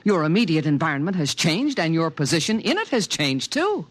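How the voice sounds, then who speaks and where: An actor playing a fussy, anxiously protective alien creature, earnest and pedantic, in a 1950s radio drama. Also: male voice, a little high, very animated, brisk, a bit bright. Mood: earnest explaining. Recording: vintage 1950s radio, music underneath.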